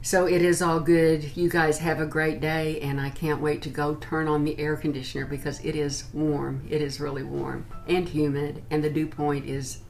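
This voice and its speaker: A woman's voice: low voice, flat delivery, normal speed, few pauses, a little mellow, faint music behind, low-quality recording. An American woman in her sixties chatting informally to camera as she reviews products. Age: 60s. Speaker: beauty and lifestyle vlogger. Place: small furnished room at home, indoors.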